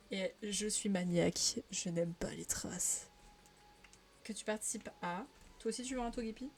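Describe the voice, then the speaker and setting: A woman's voice: mid-range voice, measured pace, pauses often, slight background noise. A young woman from the Paris region chatting casually on a live stream while she colors, often laughing and answering viewers' messages. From Paris region, France.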